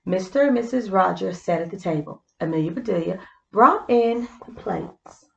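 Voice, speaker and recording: A woman's voice: medium pitch, animated, normal speed, vocal fry, reverberant, muffled recording. A woman reading a children's story aloud, with explanatory asides to young listeners. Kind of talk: scripted storybook narration with simple asides.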